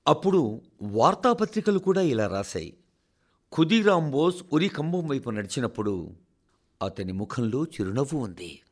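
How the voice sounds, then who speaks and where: Male radio reader, mid pitch with highly expressive swings, formal oratorical delivery, solemn and deliberate pauses. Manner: solemn, oratorical, measured phrasing with dramatic pauses. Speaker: radio reader of a translated national address. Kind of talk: scripted formal radio address.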